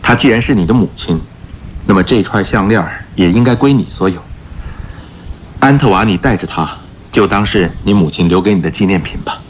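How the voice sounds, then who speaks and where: An actor playing a confident, playful gentleman-thief detective in a radio drama, explaining his deductions theatrically. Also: low-pitched, expressive, brisk, slight background noise. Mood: courteous, revealing. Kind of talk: Mandarin radio drama acting. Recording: dull radio recording with background music.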